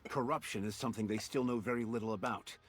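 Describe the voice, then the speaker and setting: A man voicing a weary but idealistic military commander in a game, husky, grave and measured. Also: fairly low pitch, faint music behind, close to an everyday voice. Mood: somber. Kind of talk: scripted game voice acting.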